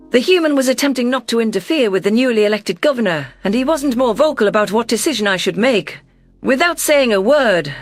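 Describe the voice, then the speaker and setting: A performer voicing an earnest, wary deposed alien governor who narrates in a measured, formal, reflective way. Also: medium pitch, lightly acted. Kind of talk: scripted first-person audio story narration.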